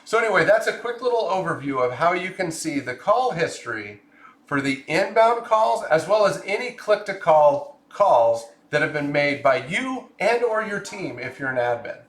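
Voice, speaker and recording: A man presenting a software tutorial, explaining clearly step by step in a scripted narration style. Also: a little high, very animated, normal speed, echoey room.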